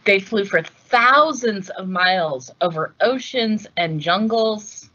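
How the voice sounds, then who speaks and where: A woman with a bright, forward voice narrates a children's picture book at a mid pitch. Her delivery is measured and deliberate, shaped for storytelling. The recording is a little dull and lo-fi. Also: expressive. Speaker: read-aloud host.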